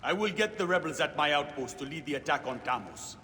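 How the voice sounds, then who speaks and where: An actor playing a fierce, impatient rebel warrior of ancient Egypt in a video game, commanding and emphatic. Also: male voice, fairly high pitch, animated, fairly quick, sharp tone, long reverb tail, a little hiss or hum. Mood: urgent, defiant. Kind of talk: video game cutscene acting.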